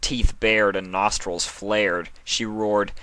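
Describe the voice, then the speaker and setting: A man reading scripted story narration as the narrator, in a measured, dramatic manner. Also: fairly low pitch, little pitch movement, bright, forceful tone, clean tone, dry recording.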